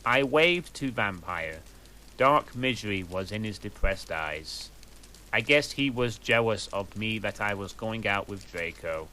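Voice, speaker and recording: A man doing a slow, deadpan comic reading of a fan story. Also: on the low side, a little hiss or hum, low-quality recording. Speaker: reader.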